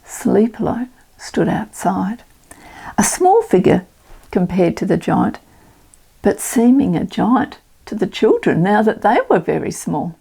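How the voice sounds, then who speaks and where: An older woman reading a children's storybook aloud as a storyteller, expressive and dramatic. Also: medium pitch, measured pace, creaky, breathy edge, low-quality recording.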